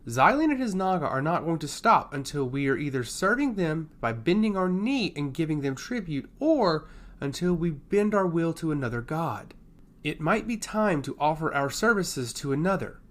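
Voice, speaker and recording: A man's voice: a little high, sing-song, moderate pace, a bit bright. A man voicing a stoic, elderly female royal advisor in a fantasy story, formal and deferential. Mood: earnest, grave.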